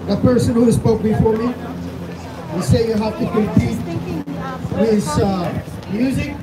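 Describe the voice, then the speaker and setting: High-voiced Sudanese man preaching at a rally. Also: a little slow, a loud crowd. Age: 30s. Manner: fervent, hammering rhetorical questions, at times shouting. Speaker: pastor speaking at a rally. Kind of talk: impassioned rally speech. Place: outdoor rally. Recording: distant, echoey outdoor sound with loud background noise.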